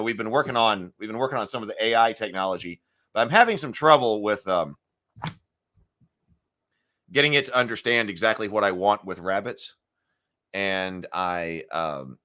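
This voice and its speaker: Bright-voiced man with a gravelly throat. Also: lowish voice, normal speed. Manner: clears throat often, thinks aloud with fillers. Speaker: live stream host. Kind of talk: casual morning live stream chat.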